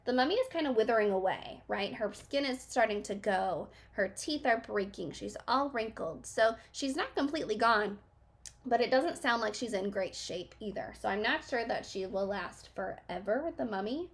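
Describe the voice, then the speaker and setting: Young woman teacher reading to kids; slightly gravelly, explains as she goes. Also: a little high, lively intonation. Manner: pauses to ask questions and explain. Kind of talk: reading a children's book aloud.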